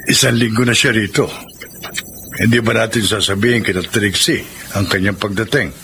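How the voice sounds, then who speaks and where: A man with a Filipino accent playing a fond older father, gentle and homely, in a radio drama. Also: lowish voice, fairly even intonation, normal speed, background noise, natural voice. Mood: concerned. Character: fond older father, concerned for his daughters.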